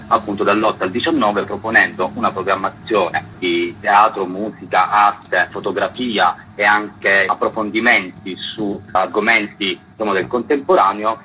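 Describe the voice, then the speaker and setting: A man explaining things in measured, formal phrases as a festival director in a radio interview. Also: on the low side, sharp tone, a little hiss or hum, low-quality recording.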